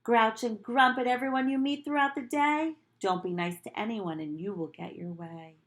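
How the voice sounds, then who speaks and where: A woman's voice: fairly high pitch, normal speed, close to an everyday voice. A woman voicing small, nasty, grumpy monsters from a storybook in a bossy, sing-song chant. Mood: gleefully grumpy.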